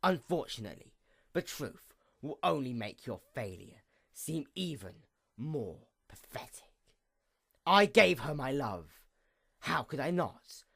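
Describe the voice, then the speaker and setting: Performer as female pony night goddess, villain monologue: bitter, imperious, formal, hugely varied intonation, mid pitch. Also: sing-song, takes it slowly, creaky, breathy edge, exaggerated voice. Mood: cold, menacing. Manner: grandiose, formal, swinging from outbursts to hushed menace. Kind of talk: dramatic reading, theatrical villain monologue.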